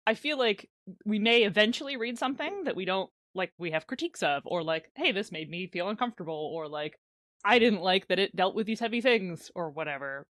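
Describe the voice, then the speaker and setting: Female podcast co-host, conversational and a little hesitant, mid-pitched, recorded very dry. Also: animated, brisk, a bit bright, no echo. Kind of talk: casual chat.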